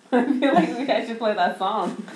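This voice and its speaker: A woman's voice: fairly high pitch, measured pace, a little mellow. A young woman in casual banter, teasing and blunt, filmed on a webcam in a small bare room. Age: young adult. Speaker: co-host. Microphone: laptop webcam across the table. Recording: echoey room with faint hiss.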